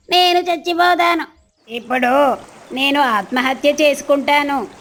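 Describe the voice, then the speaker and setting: Woman acting cartoon crow husband, high voice, whiny, scheming, melodramatic, children's story. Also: slight background noise, close to an everyday voice. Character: lazy, self-pitying, scheming crow husband, dramatic.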